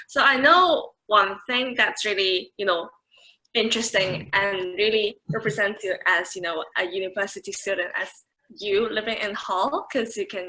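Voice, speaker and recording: A woman's voice: medium pitch, pushed and bright, low-quality recording. A young woman, a final-year student, moderating a student sharing session on a video call with many ums. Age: 20s. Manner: friendly, filler-heavy.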